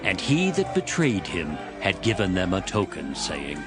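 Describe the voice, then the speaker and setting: A man's voice: low-pitched, wildly swooping pitch, a little slow, music in the background. A man narrating dramatized scripture as a storyteller between the character parts.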